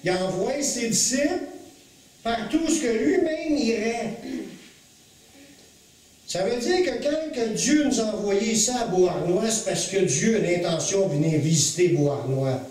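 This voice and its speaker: A man with a Québécois accent preaching a sermon to a congregation, earnest, slowing to stress key phrases. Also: sits high, expressive, darkish tone, very echoey, far from the mic, rough recording. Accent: Québécois French. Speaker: pastor. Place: church hall with congregation.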